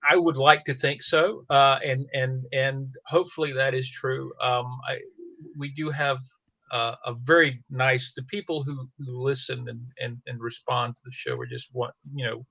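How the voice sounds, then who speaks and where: Halting man with creaky, gravelly voice. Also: mid-range voice, steady tone, bright, forceful tone, dry recording, muffled recording. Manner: halting, hesitant, repeats words. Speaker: fiction podcast host. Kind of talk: casual panel conversation.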